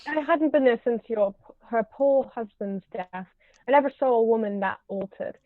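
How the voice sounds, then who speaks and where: Phone-like audio, woman acting an older aristocratic aunt, highish voice, imperious, stately, stage comedy. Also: normal speed, no room sound, natural voice. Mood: haughty gossip. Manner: stately, haughty. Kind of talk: table read of a stage comedy. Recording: thin phone-like call audio.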